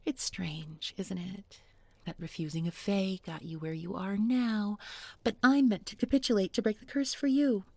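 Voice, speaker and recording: A woman's voice: medium pitch, creaky, no room sound. A woman playing a bookish village beauty turned sly, menacing captor in an intimate audio roleplay, speaking with mock tenderness and often dropping to a whisper. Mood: reproachful, ironic. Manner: deliberate, coaxing, mock-tender with pointed irony. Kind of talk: intimate audio roleplay spoken to one listener.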